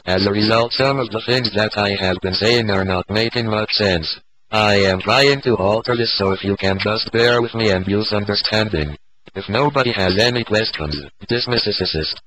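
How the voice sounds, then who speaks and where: A man acting as a stiff military colonel speaks in a lowish voice through a voice synthesizer. His delivery is formal and stilted, in a homemade audio drama with faint noise behind him. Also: normal speed, a bit bright, close to an everyday voice, low-quality recording.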